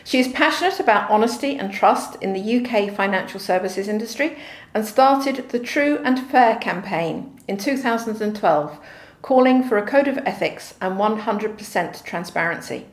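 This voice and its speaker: A woman's voice: medium pitch, expressive, moderate pace, hardly any pauses, echoey room. A woman hosting an interview show, reading a scripted introduction and reacting with effusive, enthusiastic interjections. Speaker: host.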